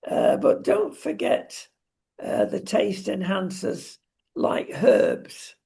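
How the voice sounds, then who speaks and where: Husky, creaky high-pitched male talk show host. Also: huge pitch swings, slow pace, mellow. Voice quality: husky, gravelly. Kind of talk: opinionated casual discussion.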